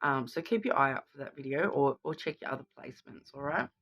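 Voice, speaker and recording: A woman's voice: a bass voice, expressive, fast, lo-fi sound. A woman with a cold, sounding sniffly, giving a chatty card reading to viewers and often checking in with "okay?". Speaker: tarot card reader.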